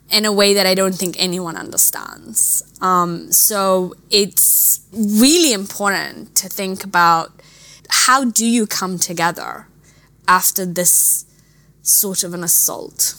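A woman from Afghanistan, a native Dari speaker, giving earnest, reflective interview answers in a measured way with thoughtful pauses. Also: medium pitch, pushed and bright, no room sound. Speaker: interview guest, refugee charity director.

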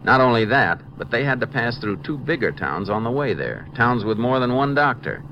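An American actor playing a shrewd, dry-humored Texas Ranger investigator in a measured, matter-of-fact way for a 1950s radio western. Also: male voice, medium pitch, very animated. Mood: resolute. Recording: muffled, noisy old 1950s radio recording.

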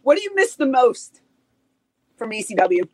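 Playful teasing lady host, 40s, high animated voice, a bit husky. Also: moderate pace, no echo. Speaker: podcast host. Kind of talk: casual interview chat.

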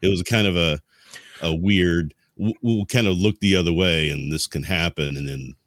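A man with a notably low voice talks casually about history as a podcast co-host. His delivery is halting and rambling as he explains, and the sound is dry and close, with little room on it. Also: a bit bright, no room sound.

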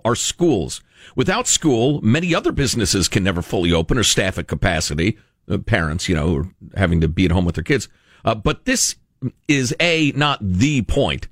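Male American radio host, lively and varied pitch, emphatic deliberate read, close dry studio sound. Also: no echo. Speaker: radio talk show host. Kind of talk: reading a letter aloud with conversational commentary.

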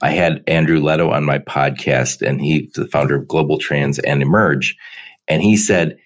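Give me a very deep-voiced man hosting a podcast interview, easygoing and chatty. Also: normal speed. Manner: chatty, laughs often, animated when quoting others. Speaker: podcast host. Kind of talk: conversational interview. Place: Detroit area.

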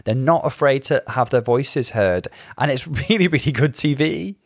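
A British man with a medium-pitched voice speaks as a podcast co-host. His commentary is casual yet articulate and measured. The sound is dry and close, with no echo. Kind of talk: casual TV show recap commentary.

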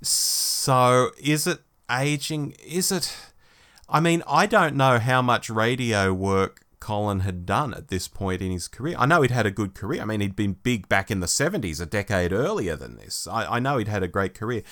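A man co-hosting a podcast in casual discussion, speaking in a measured, agreeable and slightly tentative way. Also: on the low side, animated, a bit bright. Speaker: podcast co-host.

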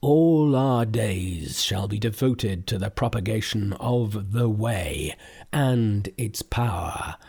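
Awestruck Taoist priests, as a man gives them voice, beseeching slowly and fervently in a husky tone. They sound devout and overwhelmed. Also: lowish voice, expressive, a little mellow, full character voice. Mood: pleading.

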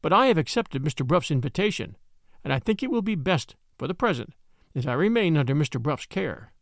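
A man reading aloud voices a distressed young lady, rising high into shrill, pleading horror. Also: very animated, brisk, dry recording, studio quality. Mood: cool.

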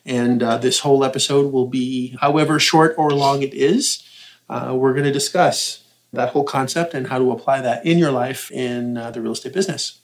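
A man's voice: mid-range voice, fairly even intonation, moderate pace. A man hosting a podcast in casual conversation, talking to guests about negotiating. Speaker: podcast host. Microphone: podcast mic.

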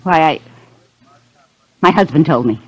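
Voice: mid-range voice, lively intonation, a little slow, a bit bright, breathy edge. A performer playing a brassy, defensive wife in a 1950s radio mystery, talking in slangy, indignant snaps. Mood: insistent, snappy. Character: brassy, defensive wife of a music school owner. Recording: old 1950s radio recording, faint music.

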